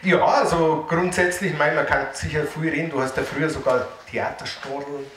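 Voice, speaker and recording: A man's voice: fairly high pitch, steady tone, a little fast. A man casually interviewing two performers, often tagging his questions. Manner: chatty, frequent tag questions. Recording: echoey room with crowd behind.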